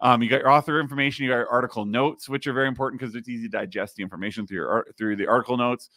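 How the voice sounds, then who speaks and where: A man in his thirties, slightly raspy, hosting a casual podcast and explaining things with plenty of fillers, recorded in a home studio. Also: mid-range voice, steady tone, racing, pushed and bright, no room sound. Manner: explains while screen-sharing, many fillers. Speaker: podcast host. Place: home studio with neon sign.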